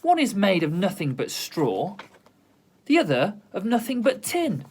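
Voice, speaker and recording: A man reading aloud and voicing a protective young girl who scolds loudly, in a storybook reading. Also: sing-song, unhurried. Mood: scolding. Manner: scolding, high and loud.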